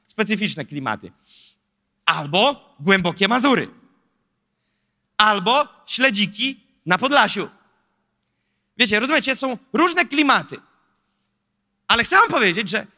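A man in his thirties preaching a sermon into a handheld mic on a large church stage, animated and rhetorical, with lively asides to the audience. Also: very high voice. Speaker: pastor. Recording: long hall reverb.

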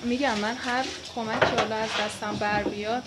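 A woman's voice: a little high, little pitch movement. Earnest young-sounding woman, reassuring, noisy bakery. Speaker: vlogger visiting a village bakery. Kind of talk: casual conversation. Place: village bakery. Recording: loud background noise.

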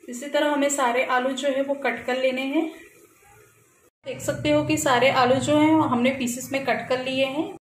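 A woman hosting a cooking channel, calmly narrating a recipe step by step in her home kitchen. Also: high voice, normal speed, roomy sound, a little hiss or hum, low-quality recording. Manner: calm, instructional, repeats "we will add".

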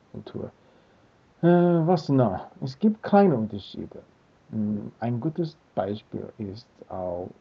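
A man in his thirties from Australia talks slowly into a webcam, pausing and hunting for words. His voice is very husky with gravelly fry, and the sound is thin and tinny like a phone line. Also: medium pitch. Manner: hesitant, searching for words with many fillers. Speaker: language learner vlogger. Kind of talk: casual talk to camera. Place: small room indoors. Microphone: webcam built-in mic.

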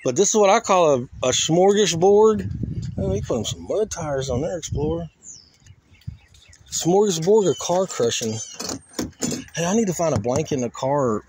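Folksy guy rambling casually on a handheld cam outside, kinda high voice, bit of background noise. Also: animated, moderate pace, pauses often. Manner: folksy, colloquial, exclaims to himself. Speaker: host of a car crushing vlog. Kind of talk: casual chat, rambling walk-around commentary. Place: outdoors in a junkyard. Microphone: handheld phone or camera, operator behind it. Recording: faint outdoor noise, handheld camera audio.

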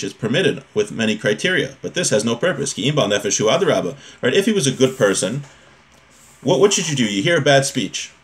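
A man, giving an informal religious lesson in a conversational, explanatory way. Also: medium pitch. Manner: conversational, often checks in with rhetorical questions. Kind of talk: informal lecture, reading a text and explaining it. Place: small home room with bookshelf.

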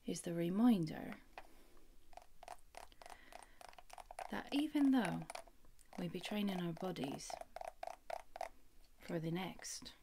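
A young woman playing a gentle, affectionate, playful rogue in a fantasy ASMR roleplay, speaking softly and intimately with rustic phrasing, often in a whisper. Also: lowish voice, lively intonation, unhurried, vocal fry, noisy background. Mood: encouraging. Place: small wood-panelled room indoors. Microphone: phone at close range.

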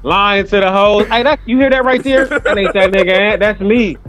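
A man's voice: high-pitched, moderate pace, fairly bright tone. A man hosting an online interview show over a video call, talking loosely with jokes and swearing. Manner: loose, joking, profane banter. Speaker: host. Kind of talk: casual interview chat. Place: room at home indoors. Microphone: webcam on a video call.

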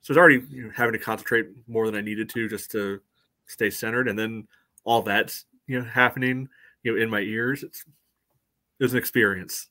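A man's voice: lowish voice, steady tone, brisk, pushed and bright. A man in his thirties giving casual soccer fan commentary as a podcast co-host on a video call. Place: indoor room at home, on a video call.

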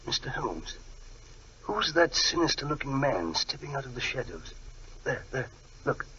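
An actor playing a courteous, learned gentleman in a 1940s radio drama, polite, a little hesitant and excitable. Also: medium pitch, measured pace, breathy edge, heavily acted. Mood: hushed, alarmed. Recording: muffled old radio recording.

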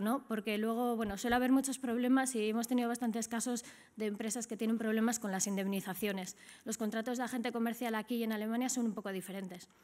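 A woman's voice: on the high side, darkish tone. A middle-aged woman with an accent from Spain, giving a business presentation as a conference speaker in a conversational way, often checking in with short tag questions. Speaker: trade office director, conference speaker. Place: indoor conference stage at a lectern.

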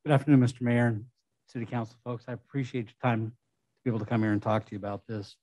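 A man speaks to a city council as a waste management consultant, in a conversational way with restarts and fillers. His voice is mellow and sits at a medium pitch, and the recording is dry. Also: steady tone, very fast, dry recording. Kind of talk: presentation at a council meeting.

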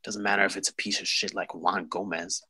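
Man acting a forceful, low-voiced smug police lieutenant. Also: level tone, husky, heavily acted. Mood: veiled threat. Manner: smug, insinuating, deliberate. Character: suave, menacing police lieutenant in his forties. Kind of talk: script table read.

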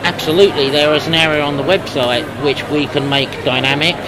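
A man in his fifties being interviewed at a trade show, explaining things in a measured, businesslike way. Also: on the high side, fairly even intonation. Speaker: company representative being interviewed. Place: busy exhibition hall booth. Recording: loud trade-show crowd noise. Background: loud crowd hubbub.

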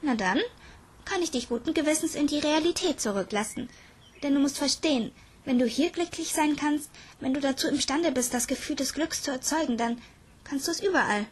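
A woman's voice: high-pitched, fairly quick, voice pushed up, close to an everyday voice. A woman voicing a cheerful, encouraging girl in an audiobook, playful and reassuring. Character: cheerful, encouraging girl who grants happiness. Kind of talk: audiobook character dialogue.

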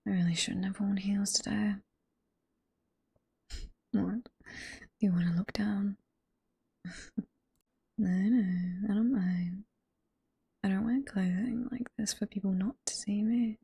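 Casting: actress as teasing older female librarian, British accent, ASMR roleplay. Hushed, very airy whisper, slow and sweet. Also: mid-range voice, steady tone, no room sound, heavily acted. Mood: flirty, teasing. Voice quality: soft, breathy whisper.

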